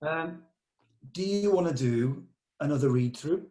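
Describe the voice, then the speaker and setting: A man's voice: on the high side, lively intonation, unhurried, mellow. A man speaking casually in a meeting, as a co-writer steering a table read discussion.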